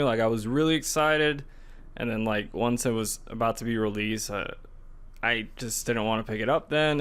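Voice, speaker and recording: A man's voice: medium pitch, a bit bright, clean tone. A man co-hosting a podcast, chatting casually in a hesitant, loosely rambling way. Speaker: podcast co-host. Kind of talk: casual chat about video games.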